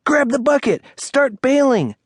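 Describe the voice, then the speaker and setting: A woman's voice: high voice, lively intonation, unhurried, slightly rough, no echo, clean studio sound, full character voice. A woman voicing a bold, stubborn, adventurous young boy in an audiobook story, speaking with confidence and urgency. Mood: urgent. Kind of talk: audiobook character acting.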